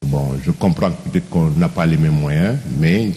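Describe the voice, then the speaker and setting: A man, a journalist guest in a TV studio, answering interview questions calmly and thoughtfully, pausing on fillers. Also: extremely low pitch.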